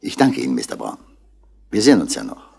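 An actor playing a shrewd, laconic police commissioner in a 1960s German radio crime drama, husky and sardonic. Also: male voice, rumbling low, huge pitch swings, quick pace, a little mellow, full character voice. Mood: probing, calm. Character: dry, unhurried, shrewd male police commissioner.

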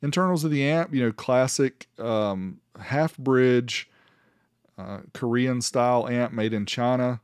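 A man's voice: mid-range voice, unhurried, clear, resonant tone, no echo, clean studio sound. A man giving an informal, plainspoken review voice-over as the host of a product channel. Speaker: host reviewing car audio amplifiers.

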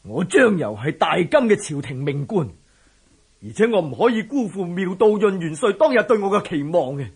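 Proud loyal general, high voice, very raspy, lively intonation, formal, radio drama. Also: male voice, moderate pace, full character voice. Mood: defiant. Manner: stiff, formal declaiming. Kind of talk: Cantonese historical radio drama acting.

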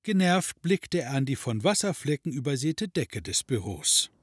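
A man's voice: medium pitch, sing-song, normal speed, husky, no room sound, studio quality. A man reading scripted narration as the narrator of an audio drama. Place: dry studio.

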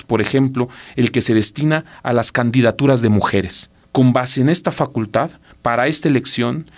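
A middle-aged man with a Mexican Spanish accent, a court legal officer giving a measured, formal scripted narration in a studio. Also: medium pitch. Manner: measured, formal explanatory reading. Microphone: studio mic on boom arm.

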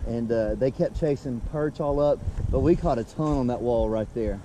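A man's voice: medium pitch, normal speed. A man guiding his friend along a creek, talking casually and eagerly as he points out fishing spots. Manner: upbeat, eager, showing the way. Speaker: fishing buddy, guide. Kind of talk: casual outdoor chat. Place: outdoors by a rocky creek. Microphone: picked up by another person's wearable camera. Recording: loud wind and water noise.

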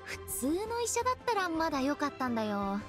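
A woman's voice: high voice, sing-song, a little fast, over music. A woman playing a playful, shrewd young funeral parlor director in a Japanese anime game, speaking casually and expressively. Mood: explaining, suspicious.

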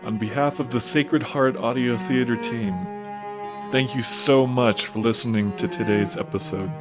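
A man's voice: mid-range voice, steady tone, moderate pace. A man narrating a scripted religious audio drama as storyteller. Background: music.

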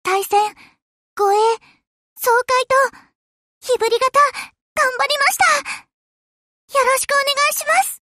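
An extremely high, childlike little warship girl from an anime game. She speaks slowly and politely, with a sweet, earnest eagerness to serve and a touch of hesitation. The recording is close and dry. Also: pushed and bright, no echo, heavily acted. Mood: gentle, earnest, then eager, polite. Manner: polite, dutiful, slightly hesitant. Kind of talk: Japanese anime game voice lines.

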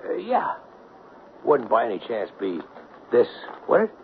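An actor playing a tough, wry ex-police sergeant in a radio drama, sardonic and probing. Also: male voice, mid-range voice, wildly swooping pitch, unhurried. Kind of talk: 1970s radio drama acting. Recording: dull lo-fi 1970s radio broadcast, hissy.

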